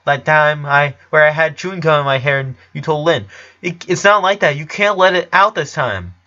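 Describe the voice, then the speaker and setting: An anxious young boy from a cartoon story, played by a man, pitched up and tense. He stammers and pleads with urgency. The sound is slightly lo-fi. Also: normal speed, pushed and bright. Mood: pleading. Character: Lincoln, anxious eleven-year-old boy, worried big brother. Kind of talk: dramatic reading of cartoon fan fiction.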